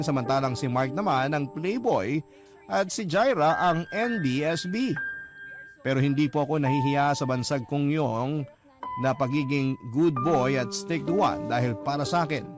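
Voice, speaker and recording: Earnest man narrating a love confession. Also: a little high. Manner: earnest, confessional, polite address. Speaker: letter-sender narrator. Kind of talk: first-person radio drama narration.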